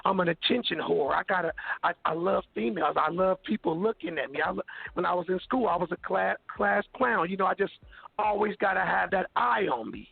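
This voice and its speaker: A man from Kansas City, Missouri, with a hoarse, scratchy voice, chatting laid-back and slangy as a guest rapper. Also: high-pitched, lively intonation, a little fast, no room sound. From Kansas City, Missouri, USA. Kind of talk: casual interview chat. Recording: dry tinny phone line.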